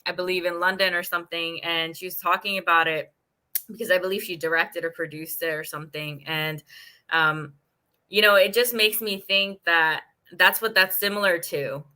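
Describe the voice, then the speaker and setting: Chatty, affirming woman host, Indian accent, lowish pitch with a bright, forward tone. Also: fairly even intonation, normal speed. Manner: affirming and chatty, often laughs and murmurs agreement. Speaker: podcast host. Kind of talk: casual interview chat.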